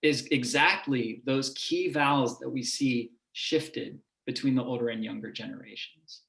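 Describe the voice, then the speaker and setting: A man, a university professor, giving a measured, explanatory lecture to a public audience. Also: mid-range voice, creaky, fairly bright tone. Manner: measured and explanatory, with occasional restarts. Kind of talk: lecture presentation. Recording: echoey room.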